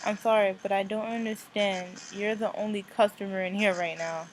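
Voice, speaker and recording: A woman's voice: mid-range voice, steady tone, normal speed, a little hiss or hum, close to an everyday voice, rough recording. A woman voicing a puzzled male bookstore owner in a visual novel, polite and apologetic. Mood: baffled. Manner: apologetic, questioning. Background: music.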